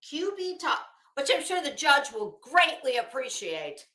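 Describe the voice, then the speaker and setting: A woman with a bright, forceful, slightly high voice reads a document aloud and comments on it in a sardonic, incredulous way. Her delivery is unhurried and blunt, with casual swearing. The recording sounds roomy and echoing. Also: sing-song. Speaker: host of commentary show. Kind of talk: reading a court document aloud with running commentary.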